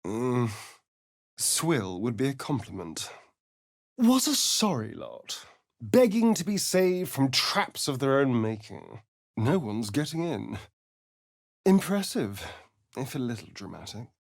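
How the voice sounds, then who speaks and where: Sardonic vampire rogue, video game character, suave drawl, swooping intonation, unhurried. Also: male voice, mid-range voice, sing-song, dry recording, clean studio sound, heavily acted. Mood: sardonic, exasperated. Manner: arch, drawling, theatrical.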